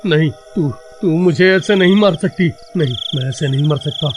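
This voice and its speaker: Casting: cowardly villager for animated horror comedy, male actor; high voice, stammering, whimpering, melodramatic, faint music behind. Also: moderate pace, close to an everyday voice. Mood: pleading, terrified. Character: panicky, cowardly village man fleeing a witch.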